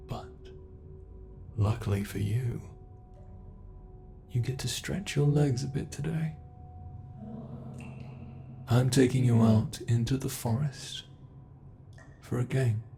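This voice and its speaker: A British man voicing a cruel male monster who keeps a human captive, taunting in a slow, mocking way for an ASMR horror roleplay. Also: mid-range voice, gravelly, mellow. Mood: sly taunting.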